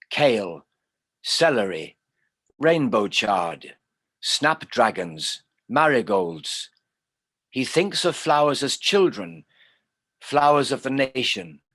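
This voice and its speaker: A man's voice: mid-range voice, a bit bright, dry recording. A man reciting poetry on an online call, plainly and phrase by phrase. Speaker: poetry reader.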